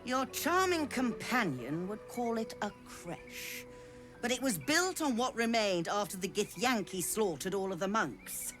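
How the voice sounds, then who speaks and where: An actress playing a genteel, self-pitying scholarly trader in a video game, theatrical and effusive with affected politeness. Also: female voice, medium pitch, sing-song, measured pace, fairly bright tone, soft background music. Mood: disdainful. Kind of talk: dramatic video game acting.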